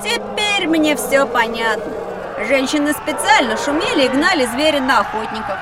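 A woman voicing a curious, excitable young boy in a children's educational drama, eager and emotive. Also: high-pitched, normal speed, far from the mic, a loud crowd. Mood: wondering.

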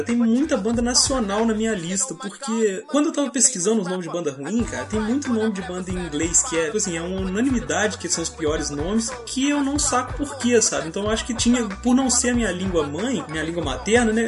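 A Brazilian man co-hosting a comedy podcast, rambling through his opinions with plenty of casual swearing. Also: sits high. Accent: Brazilian Portuguese. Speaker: podcast co-host.

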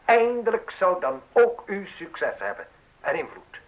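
Ruthless journalist, insinuating, mocking, articulate, interrogating, radio drama. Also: male voice, high-pitched, big pitch swings, a little slow, highs cut off. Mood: relentless. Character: probing, mocking, ruthless male newspaper journalist needling an official. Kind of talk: radio play acting in Dutch.

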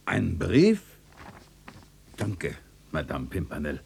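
A man's voice: deep voice, wildly swooping pitch, takes it slowly, creaky, darkish tone, slight background noise, heavily acted. An actor playing an observant uncle who narrates his memories vividly, in a literary radio play. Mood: calm. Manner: vivid storytelling.

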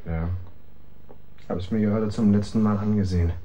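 A man's voice: lowish voice, fairly even intonation, moderate pace, warm tone, a little hiss or hum, lightly acted. An actor playing a downcast, weary bohemian man in a German radio drama.

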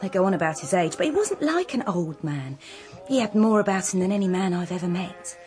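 An actress playing a defensive young widow in a radio drama, plain-spoken and wary. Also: female voice, lowish voice, expressive, brisk, darkish tone, soft background music, natural voice. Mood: defensive, wistful. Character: defensive young widow, former cafe waitress.

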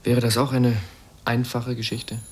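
A man's voice: mid-range voice, monotone, measured pace, slightly rough. An actor in a radio drama playing a bitter, ironic man who challenges authority in a pointed, sardonic way. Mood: bitter, accusing. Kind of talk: German radio drama acting.

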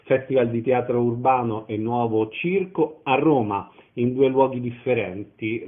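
A man's voice: medium pitch, phone-quality sound. A man hosting a radio interview, with orderly, announcer-like phrasing as he introduces and questions his guest.

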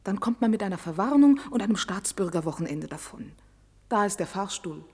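A restless, weary woman who is fed up with everyone around her. Her voice is husky and of middling height, in a radio drama style. Long hall reverb surrounds her. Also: medium pitch, lively intonation, fairly quick, darkish tone. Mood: urgent. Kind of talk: German science-fiction radio play acting.

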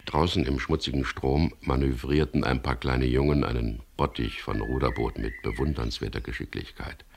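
Very deep, gravelly wry male adventurer. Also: steady tone, normal speed, hardly any pauses, slight background noise. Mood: dry narrating. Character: wry, stubborn adventurer and first-person crime narrator. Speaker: narrator and lead character. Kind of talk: radio crime drama, narration and dialogue.

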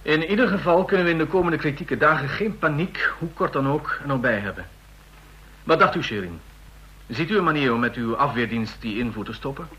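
Brief: firm general in a radio play, crisp bright tone. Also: male voice, a little high, expressive, a little fast, rough recording. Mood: decisive. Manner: questioning, decisive. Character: male general, questioning, decisive. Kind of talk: radio drama acting.

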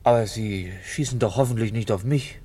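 A man's voice: fairly low pitch, normal speed, mellow, breathy edge. An actor playing a nosy, nervous milkman, polite and deferential, in a German radio play. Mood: nervously polite.

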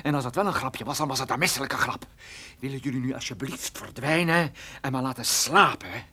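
An actor playing a cornered, defiant private detective with a sardonic, irritable manner in a radio drama. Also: male voice, mid-range voice, brisk, sharp tone, breathy edge. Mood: weary, irritated.

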